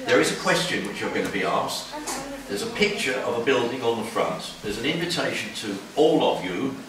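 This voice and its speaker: Man in his 70s, lively pitch, strong projecting voice, school hall with echo and kids' chatter. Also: brisk. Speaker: guest speaker at a school. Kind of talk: talk and Q&A with schoolchildren. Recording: echoey hall from far off, children's chatter.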